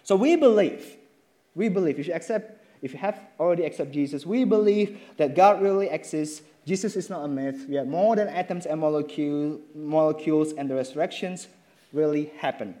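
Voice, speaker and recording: Male preacher, slightly high, mellow tone, halting delivery. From Indonesia. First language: Indonesian. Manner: halting, with many fillers and restarts. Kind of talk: sermon with slides.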